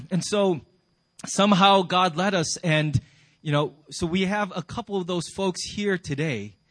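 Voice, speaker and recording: A man addresses his church as its pastor. His voice is a little higher than most men's. He talks informally as he introduces the guest speakers. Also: unhurried, fairly bright tone. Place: high school cafeteria used for church.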